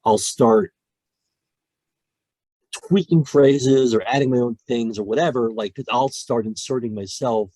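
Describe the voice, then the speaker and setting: A man's voice: medium pitch, a little slow, long pauses, no echo. A man, a voice actor, chatting on a podcast over a call, talking with passion and often restarting his sentences. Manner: animated, many restarts, passionate about storytelling. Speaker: guest voice actor. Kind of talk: casual podcast conversation.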